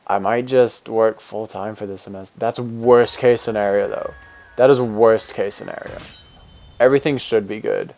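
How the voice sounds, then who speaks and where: Deep-voiced young man chatting on stream. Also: a little mellow. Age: 20s. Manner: rambling, with fillers and restarts. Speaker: game streamer. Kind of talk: casual live-stream chat, reading and answering viewer comments.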